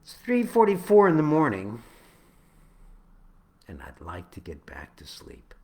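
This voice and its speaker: A man reading his own spoken-word poem, with halting, deliberate phrasing that moves between dramatic swells and hushed drops. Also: medium pitch, wildly swooping pitch.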